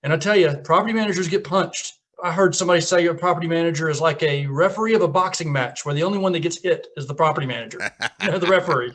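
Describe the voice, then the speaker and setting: Brief: fifties Southern man, Tennessee accent, folksy storyteller voice, a bit higher pitched. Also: fairly bright tone. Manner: folksy storytelling, chuckles at own lines. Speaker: guest property manager. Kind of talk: casual radio interview.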